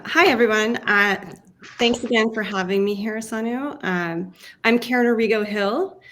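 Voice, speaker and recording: A woman's voice: medium pitch, fairly bright tone, slight background noise. A woman sharing her story on a panel, reflective and a little hesitant.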